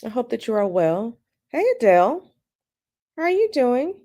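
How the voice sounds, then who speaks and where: A woman with a slightly raspy morning voice, chatting casually with viewers on a live stream from home and greeting them by name. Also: fairly high pitch, big pitch swings, moderate pace, mellow. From United States. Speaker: tarot channel host. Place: at home, bedroom.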